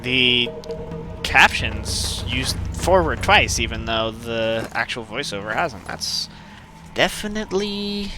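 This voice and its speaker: A man's voice: medium pitch, lively intonation, slow pace, over music. A man giving casual let's play commentary, self-deprecating and full of wry asides. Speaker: gaming video host. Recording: clear game noise behind voice. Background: game gunfire and ambience.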